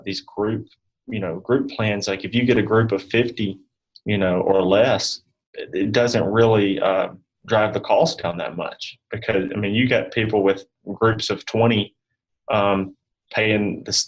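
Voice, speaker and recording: A man giving informal interview answers as an insurance expert, talking in a rambling, halting, conversational way. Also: on the low side, breathy edge, rough recording. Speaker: guest expert on health insurance benefits.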